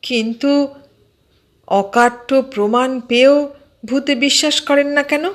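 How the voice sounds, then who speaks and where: A woman voicing a nosy, excitable man in an audio story, eagerly questioning and exclaiming. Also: high voice, measured pace. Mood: astonished, protesting.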